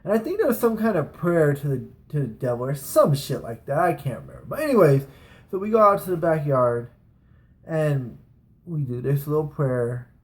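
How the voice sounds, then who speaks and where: A man with a slightly high voice tells an anecdote in a casual vlog style. He rambles along in loose, slangy phrases, swearing freely and leaning on his words for emphasis. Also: big pitch swings, moderate pace. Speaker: storytelling vlogger.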